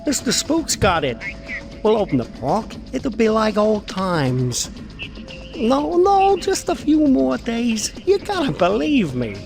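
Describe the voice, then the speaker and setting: A desperate washed-up showman in a game cutscene. His high voice cracks with gravelly creak as he wheedles nervously, music playing behind. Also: wildly swooping pitch, takes it slowly, darkish tone, exaggerated voice. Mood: desperate pleading. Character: desperate, pleading, washed-up small-time male showman. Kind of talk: video game cutscene acting.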